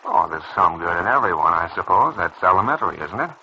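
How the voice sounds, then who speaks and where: A man's voice: deep voice, lively intonation, fast talker, creaky. A man playing a glum, bitter young surgeon in a mid-century radio soap opera, hesitant and sceptical in manner. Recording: dull, noisy old radio recording.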